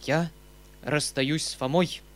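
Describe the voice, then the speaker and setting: A man voicing an agitated middle-aged gentleman uncle from an audiobook novel, emphatic and rushed, flaring into commanding outbursts. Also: a little high, a little hiss or hum, natural voice. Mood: tragic, resolute. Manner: emphatic, breathless, rushed exclamations in short bursts.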